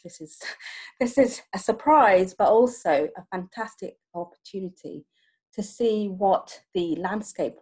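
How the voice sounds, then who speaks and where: A woman's voice: on the low side, husky. A woman in casual podcast conversation, talking with many fillers and frequent small laughs. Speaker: project co-lead.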